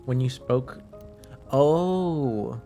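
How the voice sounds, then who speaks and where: A man whose first language is French, chatting casually to livestream viewers in a hesitant, halting way, sounding slightly under the weather. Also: medium pitch, warm tone. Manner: hesitant, halting, often trailing off. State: slightly under the weather, deeper than usual, clearing throat. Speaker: live streamer, host. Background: faint music.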